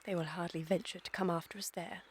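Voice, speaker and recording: A woman's voice: fairly low pitch, fairly even intonation, fast, slightly rough. A woman playing a haughty, sophisticated young Victorian society lady in a radio comedy, with formal, theatrical diction. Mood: dignified, wounded. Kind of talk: scripted radio comedy acting.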